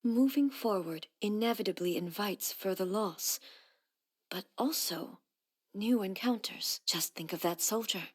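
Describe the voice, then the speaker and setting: A woman's voice: mid-range voice, breathy edge. Solemn, regal woman ruler. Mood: reflective, resolute. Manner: measured, formal, stately, softly grave. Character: solemn, regal ancient woman ruler, burdened by old grief. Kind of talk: video game voice acting.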